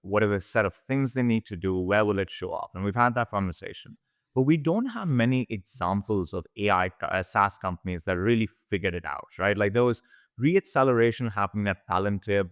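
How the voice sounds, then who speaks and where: A man co-hosting a conversational business podcast, explaining in a measured way and posing questions. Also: lowish voice, expressive, no room sound, clean studio sound. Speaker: podcast co-host.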